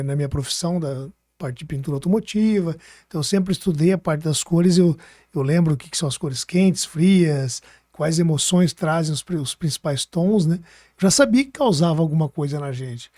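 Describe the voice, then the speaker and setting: A man's voice: a little high, fairly quick, darkish tone, no room sound, studio quality. A man with a Brazilian Portuguese accent chatting informally as a podcast host, thinking aloud as he goes. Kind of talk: casual podcast conversation.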